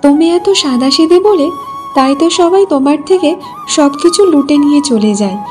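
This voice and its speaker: A woman's voice: high voice, expressive, moderate pace, darkish tone, soft background music. A woman voicing a young, earnest village wife in a cartoon story. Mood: pleading, insistent. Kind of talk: Bengali cartoon story voice acting.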